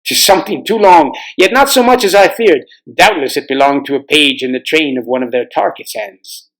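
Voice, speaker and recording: A man's voice: a little high, normal speed, fairly bright tone, poor audio. A man voicing a noble, grave storybook king who commands kindly in archaic, formal speech. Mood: confident, commanding.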